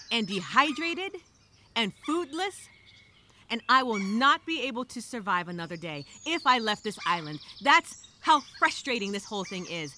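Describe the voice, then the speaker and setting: A frightened castaway woman, as acted by a storyteller rambles through a journal monologue with theatrical exasperation. Her voice is highish and very bright, swinging widely in pitch as she stresses her words. Birdsong and clear background noise sit behind her. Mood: frustrated, despairing. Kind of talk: dramatic first-person journal monologue.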